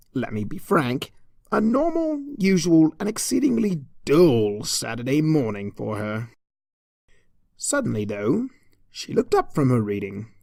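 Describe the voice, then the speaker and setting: An arch, theatrical narrator reads a comedic story with mock pomposity. The pitch swoops widely from phrase to phrase, and the sound is dry, with very little room in it. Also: gravelly, no echo. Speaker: fan fiction reader.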